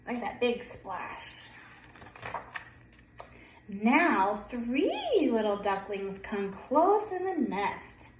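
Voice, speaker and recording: A woman's voice: on the high side, wildly swooping pitch, drawn-out words, long pauses, slight background noise. A woman preschool teacher reading a picture book aloud to young children, prompting with questions and sing-song counting. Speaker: preschool story-time teacher. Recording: roomy echo.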